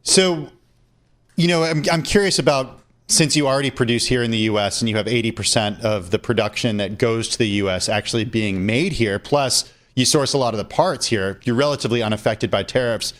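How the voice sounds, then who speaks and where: Medium-pitched male news interviewer. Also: a little fast, a bit bright. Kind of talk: broadcast interview questioning.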